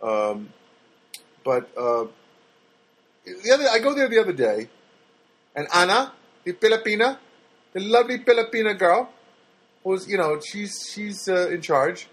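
A man's voice: sits high, sing-song, unhurried, long pauses, fairly bright tone, rough recording. A man telling stories in a casual, rambling and sarcastic monologue, with many fillers and restarts.

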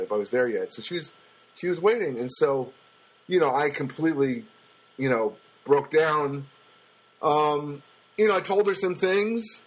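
Booming man with a halting, heavy delivery. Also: rough recording. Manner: halting, many fillers and restarts, emotional. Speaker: storyteller recounting a personal loss. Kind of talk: casual spoken monologue.

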